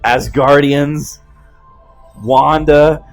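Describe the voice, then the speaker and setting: Male film commentary host, enthusiastic and slangy, unhurried pace, faint film score underneath. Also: a little high, fairly even intonation, creaky. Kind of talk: excited casual film commentary. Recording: music playing behind the voice.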